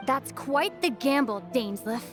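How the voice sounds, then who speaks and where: An actress playing a composed, formal young leader in a video game, measured and defiant. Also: female voice, sits high, lively intonation, music in the background. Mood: cool, probing. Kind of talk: dramatic video game voice acting.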